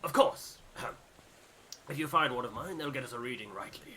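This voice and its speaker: A man's voice: medium pitch, animated, normal speed, bright, forceful tone, slight background noise, pitched above the performer's own voice. A man voicing a proud, theatrical old ship navigator who declaims grandly in a game. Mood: commanding, formal.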